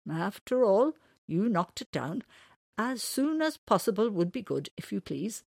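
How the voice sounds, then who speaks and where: A woman voicing a wise old owl in a storybook, courteous, measured and firm. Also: medium pitch, big pitch swings, vocal fry, a little mellow, breathy edge, dry recording, studio quality, pitched above the performer's own voice, exaggerated voice.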